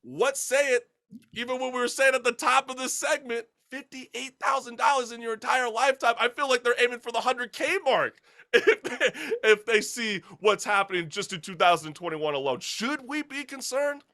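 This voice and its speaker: A man's voice: very high voice, moderate pace, pushed and bright. A man hosting a talk show in an opinionated, conversational way, asking emphatic rhetorical questions with casual slang and mild swearing.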